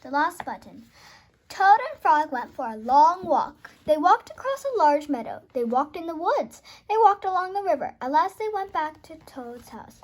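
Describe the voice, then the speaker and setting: A woman's voice: high-pitched, big pitch swings, moderate pace. A young girl hosting a children's read-aloud and reading a story expressively.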